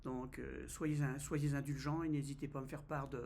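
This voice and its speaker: A man's voice: medium pitch, flat delivery, moderate pace, gravelly, a little mellow. A man in his fifties from France, vlogging in a casual, hesitant way with many fillers and restarts. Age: 50s. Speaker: travel vlogger. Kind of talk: casual first-person vlog.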